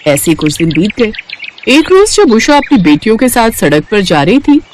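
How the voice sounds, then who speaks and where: A woman's voice: mid-range voice, very animated, moderate pace, a little hiss or hum. A woman reading scripted narration for a moral story.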